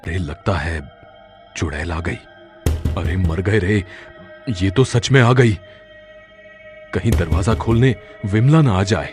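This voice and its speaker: A man playing a gentle, henpecked older village father-in-law in a cartoon horror story, earnest and complaining. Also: deep voice, slow, pauses often, over music. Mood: frightened. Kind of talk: Hindi animated horror story acting.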